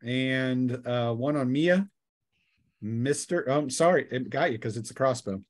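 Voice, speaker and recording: A man's voice: medium pitch, a little slow. A man narrating a tabletop role-playing game as game master in a casual, conversational way.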